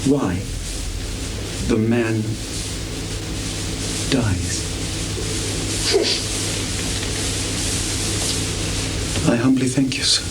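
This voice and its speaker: Slow, declamatory young prince played by a man. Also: mid-range voice. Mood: questioning, grave. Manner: declamatory, deliberate, impassioned. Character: Hamlet, anguished self-reproaching young prince. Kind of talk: Shakespearean stage acting. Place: small theatre stage in Tokyo. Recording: noisy 1980s live theatre recording.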